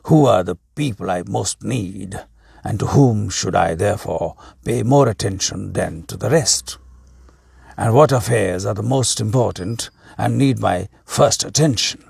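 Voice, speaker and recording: Storybook king, played by a male reader: earnest and courteous, husky, unhurried, solemn. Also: lowish voice, a little mellow, no room sound, studio quality, voice pushed down, exaggerated voice.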